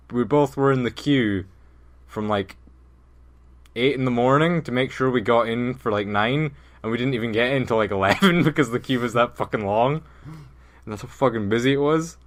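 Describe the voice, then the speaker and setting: A young man co-hosting a casual comedy podcast, chatting and telling stories in a halting, giggly way. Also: medium pitch, fairly bright tone. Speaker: podcast co-host.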